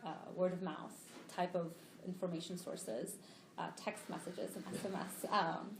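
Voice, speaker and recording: A woman's voice: on the low side, level tone, normal speed, gravelly. Woman panelist in an echoey room. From Tunisia. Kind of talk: panel discussion answer. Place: lecture room. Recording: echoey lecture room, faint noise.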